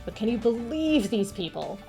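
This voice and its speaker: A woman's voice: a little high, sing-song, moderate pace, vocal fry, dark tone, soft background music. A woman voicing a vain young TV actress in a video game, scornful, whiny and dramatic. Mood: petulant. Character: vain, snooty young TV actress, spiteful and self-important.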